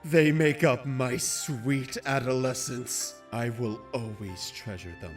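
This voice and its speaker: A man's voice: mid-range voice, far from the mic, faint music behind, lightly acted. A man voicing a brooding carnivore beast, solemn and wistful, in a dramatic anime fan-dub monologue. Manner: slow, solemn, deliberate delivery. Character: brooding male carnivore beast, obsessively wistful over a lost friend.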